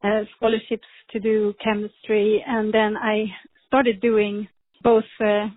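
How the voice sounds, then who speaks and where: A woman, speaking as a guest in a podcast interview, measured with frequent ums and restarts. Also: medium pitch, monotone. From Sweden. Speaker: pharma executive guest. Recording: tinny phone-like line.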